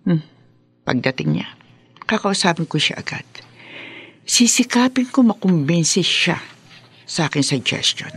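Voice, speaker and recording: An actress playing a sly, greedy middle-aged madam in a Filipino radio drama, drawling and knowing. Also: female voice, fairly low pitch, big pitch swings, a little slow, long pauses, a bit bright, breathy edge, heavily acted. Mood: sly, gloating. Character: scheming, greedy middle-aged female madam managing young women.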